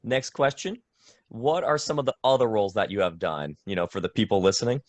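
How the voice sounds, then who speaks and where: A man's voice: lowish voice, fairly quick, no echo. A man interviewing a guest in a casual, conversational way over a video call.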